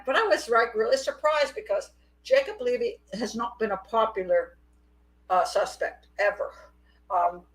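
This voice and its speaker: A middle-aged woman hosting a live call-in show, chatty and quick to laugh. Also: mid-range voice, poor audio. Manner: chatty, laughs often, animated. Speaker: host. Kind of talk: casual live call-in chat.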